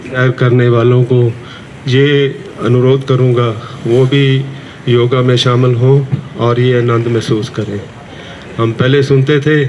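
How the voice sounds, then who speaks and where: A man giving a plain, steady and grateful testimonial about joining a yoga class. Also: mid-range voice, background noise. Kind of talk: casual spoken testimonial. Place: outdoor park.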